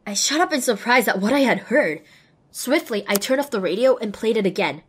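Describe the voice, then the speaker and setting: A woman's voice: on the high side, expressive, fairly quick, slight background noise, close to an everyday voice. A woman voicing a young, determined and wry female pony who narrates her own adventure in an audio drama. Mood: curious, reflective. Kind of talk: scripted first-person narration in audio drama.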